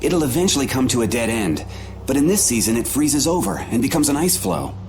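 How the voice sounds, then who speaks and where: A man's voice: medium pitch, big pitch swings, fairly quick, noisy background, lightly acted. A man playing a helpful, cautious family man in a video game, with a plain-spoken, folksy manner.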